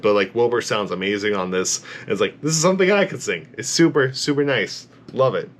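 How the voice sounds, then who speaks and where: Low-voiced man gushing about a song. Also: animated, fairly quick, slight background noise. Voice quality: low bass voice. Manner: enthusiastic, rambling, many "like" fillers. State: tired late at night. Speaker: music reaction YouTuber. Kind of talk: casual reaction commentary.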